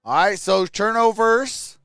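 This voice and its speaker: A man's voice: high voice, slow pace, creaky, a bit bright, slight background noise. A man hosting a sports livestream, casually mixing play-by-play with informal, chatty replies to viewers. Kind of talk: casual live play-by-play and chat.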